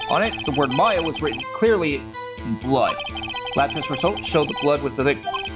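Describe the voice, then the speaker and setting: A gruff police detective from a video game, acted out by a man, swinging widely in pitch as he talks. He is blunt and slangy, blusters proudly and stammers when challenged, with music playing behind him. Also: expressive, normal speed, close to an everyday voice. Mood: proud, insistent.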